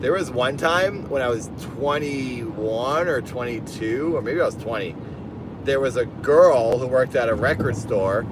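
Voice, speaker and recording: A man with a lisp chatting casually on a livestream from his car while driving, rambling and reading out donations. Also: mid-range voice. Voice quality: lisp on S sounds. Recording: car road noise behind.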